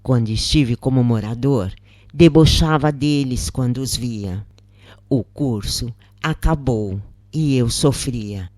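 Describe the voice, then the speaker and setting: A narrator reading a scripted first-person account in measured phrases with pauses. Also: mid-range voice, darkish tone, dry recording.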